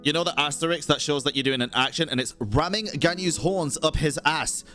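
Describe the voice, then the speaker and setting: A woman's voice: sits low, brisk, fairly bright tone. A woman giving casual, sweary and blunt reaction commentary while streaming a game. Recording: game music behind the voice.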